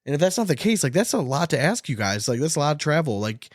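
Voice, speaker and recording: A man's voice: a little high, very animated, fast talker, no room sound, studio quality. A man hosting a casual sports podcast, chatting in a blunt, sweary, slangy way. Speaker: podcast host.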